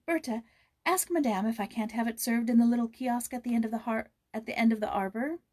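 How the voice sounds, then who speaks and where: Woman as a young storybook girl, raised pitch, plaintive, eager, emphatic, expressive. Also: fairly quick, close to an everyday voice.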